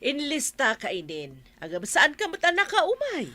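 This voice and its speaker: A woman's voice: high-pitched, huge pitch swings, normal speed, pushed and bright, exaggerated voice. A woman acting as a reluctant village auntie in an Ilocano comedy radio drama, speaking with an Ilocano accent. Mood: reluctant, warning. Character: reluctant village auntie keeping the seminar list.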